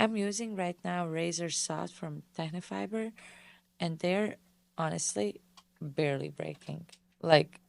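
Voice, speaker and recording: A woman's voice: fairly low pitch, creaky, no room sound. A woman from Bosnia and Herzegovina, hosting a casual solo question-and-answer podcast in a chatty way, full of fillers. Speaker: podcast host and tennis pro.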